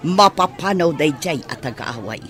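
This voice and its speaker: A woman's voice: deep voice, lively intonation, normal speed, a bit bright, a faint crowd. A woman playing a scheming, sly mother in a theatrical radio soap opera. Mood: sly, plotting.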